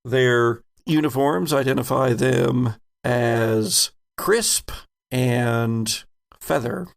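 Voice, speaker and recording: Man, low-pitched, heavy vocal fry, game master, measured descriptive narration, halting delivery. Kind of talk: improvised scene narration for tabletop roleplay.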